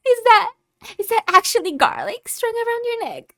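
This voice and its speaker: A woman's voice: very high-pitched, sharp tone, full character voice. A woman playing a haughty, secretly caring tsundere vampire in a scripted ASMR roleplay, condescending and easily exasperated. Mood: amused, surprised. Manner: condescending, exasperated, flustered scolding with sighs.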